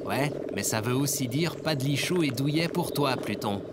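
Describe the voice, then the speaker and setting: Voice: mid-range voice, lively intonation, moderate pace, lightly acted. An actor in a French comedy audio drama playing a whiny, defiant, slangy last man left on Earth. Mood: defiant, fed up. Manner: exasperated, slangy, grandiose. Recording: very noisy background of ambient effects.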